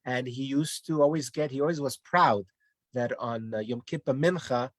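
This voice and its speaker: A man's voice: medium pitch, moderate pace, no room sound. A man from Denmark, a guest in a casual online interview, telling his family story in a rambling way with many restarts and fillers.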